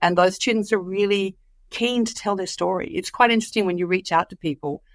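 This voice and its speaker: A woman whose voice is still patchy from the flu, talking with eager, rambling enthusiasm and plenty of fillers. Her voice sits at a middling pitch and is recorded dry and close. She is a guest in a relaxed interview. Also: a little fast, no echo. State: recovering from flu, voice comes and goes. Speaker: conference guest. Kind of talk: casual interview chat.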